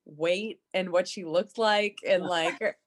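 A woman's voice: fairly low pitch, takes it slowly. A woman chatting casually with a group, reacting to a story. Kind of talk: casual group chat about a play.

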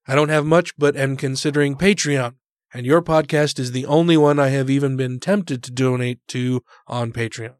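This is a man co-hosting a casual podcast, hoarse and congested with his voice nearly gone. He sounds measured and agreeable and laughs easily. The recording is dry and close. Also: medium pitch, no echo. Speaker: podcast co-host. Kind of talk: casual political chat.

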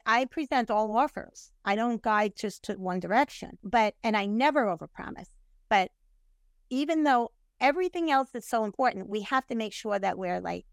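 Lively middle-aged woman agent, dry sound. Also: medium pitch, no echo. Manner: energetic, laughs often, says "you know" and "right". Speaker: real estate agent, guest. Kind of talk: casual interview chat.

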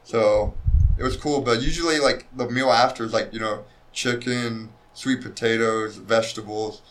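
A man's voice: medium pitch, steady tone, moderate pace, fairly bright tone, low-quality recording. A man who fights professionally, talking casually in an interview about diet and his career, with a loose, rambling manner full of fillers and restarts. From Mexican heritage. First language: Spanish speaker. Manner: laid-back, rambling with many likes and restarts. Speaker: mixed martial arts fighter, guest.

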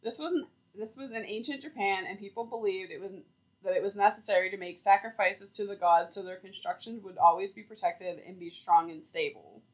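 A woman's voice: medium pitch, a little fast, low-quality recording. Chatty woman co-hosting a podcast. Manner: chatty, laughs often, many "like" fillers. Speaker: podcast co-host. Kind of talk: casual chat.

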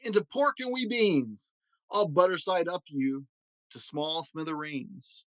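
A man's voice: fairly high pitch, lively intonation, a little slow, fairly bright tone, dry recording, low-quality recording. A man voicing a taunting enemy soldier in a storybook, boastfully calling out threats in rhyme. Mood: threatening.

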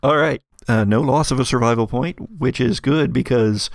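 A man's voice: mid-range voice, moderate pace, gravelly, no echo, studio quality. A man working as game master, narrating an actual-play tabletop game in a conversational, improvised way.

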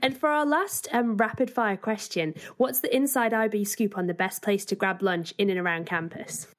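A woman's voice: medium pitch, moderate pace. A woman podcast host putting friendly, prepared interview questions to a guest.

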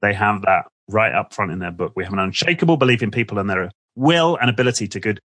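Husky man hosting an interview, dry sound. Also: on the low side, fast, fairly bright tone, no echo. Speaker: interview host. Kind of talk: conversational interview.

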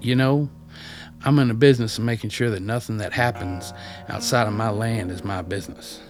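Radio play role for a male actor: reclusive ex-addict backwoodsman, lowish voice, plain-spoken and wry, guitar music behind. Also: steady tone, fairly quick, lightly acted. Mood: firm, plain. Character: reclusive rough-mannered ex-addict loner living in backwoods. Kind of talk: radio drama acting.

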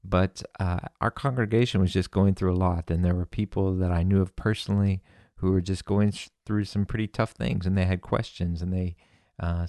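A man from America hosting a podcast, casually introducing an episode in a relaxed, explanatory way. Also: sits low, creaky, no room sound, clean studio sound. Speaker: podcast host.

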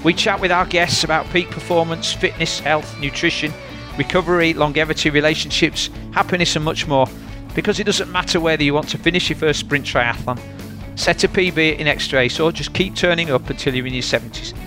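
A man's voice: fairly high pitch, fairly quick, over music. A man with a Yorkshire accent hosting a fitness podcast, chatty and friendly, interviewing a guest. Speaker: podcast host.

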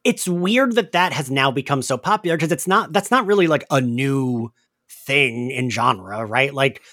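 A man's voice: medium pitch, normal speed, no echo, studio quality. A man hosting a podcast, chatting casually through a recap with lots of "like" as filler. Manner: animated, chatty, filler-heavy with frequent "like". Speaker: podcast host.

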